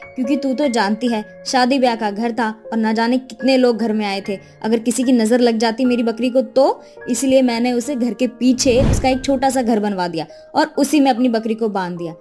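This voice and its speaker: Lady doing old village saas for a cartoon, naggy n bossy, high voice, faint music behind. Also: normal speed, few pauses, dark tone, lightly acted. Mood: firm explaining. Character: elderly village mother-in-law, hardworking, fussy, doting on goat. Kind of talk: Hindi animated moral story dialogue.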